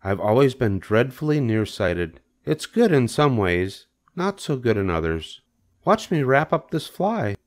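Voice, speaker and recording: A man's voice: medium pitch, very animated, unhurried, voice pushed up. A man voicing a small, kindly female spider in a children's storybook, thin-voiced, poised and matter-of-fact. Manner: matter-of-fact, slightly fancy speech.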